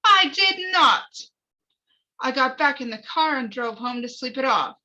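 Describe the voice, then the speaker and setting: A woman playing a bitter, measured man testifying at his murder trial in a dramatic screenplay reading, on a lo-fi recording. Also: sits high, expressive, sharp tone, heavily acted. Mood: firm, defensive. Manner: measured, defensive. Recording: dull, lo-fi recording.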